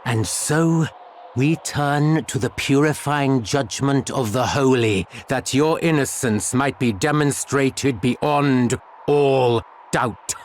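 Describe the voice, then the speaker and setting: A man as a stern, slow-preaching bishop. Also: medium pitch, slight background noise, close to an everyday voice. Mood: grave, accusing. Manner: solemn, archaic, preachy oratory. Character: stern, sanctimonious bishop presiding over a witch trial. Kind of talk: dramatic video game acting.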